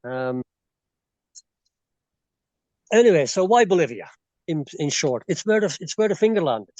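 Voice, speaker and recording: Guest: Belgian-born man, Irish-tinged accent, on the high side, dry sound, rambles with fillers. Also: very animated, a little fast, a little mellow, no room sound, dull audio. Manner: rambling, frequent fillers and restarts. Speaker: expat guest. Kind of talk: casual interview chat. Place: rural property in Bolivia.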